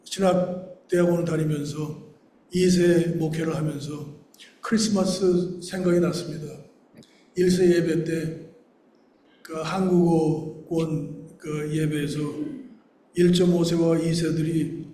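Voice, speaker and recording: A Korean-born pastor in his sixties delivers a sermon in a mellow, fairly even voice. He speaks slowly and deliberately, and his sentences sink quietly at their ends. The sound carries the reverberant echo of a church hall. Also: fairly high pitch, a little hiss or hum. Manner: slow and deliberate in English, brisker in Korean; phrases trail off quietly at the ends.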